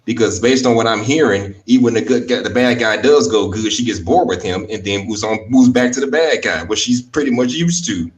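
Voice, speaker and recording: A man's voice: on the low side, brisk, few pauses. A man giving a critical, colloquial opinion monologue as a panel guest. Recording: distant from the mic.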